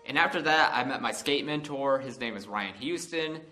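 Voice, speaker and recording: A man's voice: mid-range voice, animated, normal speed, bright, forceful tone, faint music behind. An American man in his twenties telling a casual, unscripted story in a rambling, conversational way. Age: 20s. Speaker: vlog host.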